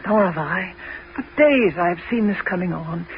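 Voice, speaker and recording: A woman's voice: lowish voice, lively intonation, normal speed. An actress playing an anxious, protective Greek queen and mother in a 1970s radio drama. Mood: worried. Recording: dull, faintly noisy old radio recording.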